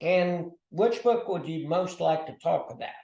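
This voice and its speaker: A man's voice: on the high side, animated, a little slow, creaky, warm tone. A man interviewing an author, asking brief and courteous questions. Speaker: interviewer for an arts group. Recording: echoey room.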